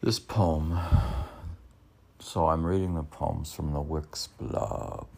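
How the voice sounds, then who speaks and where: A man reads poetry aloud casually, rambling into his own commentary and musing haltingly, as if arguing with himself. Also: rumbling low, steady tone, vocal fry, a little mellow. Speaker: poet reading own poems aloud.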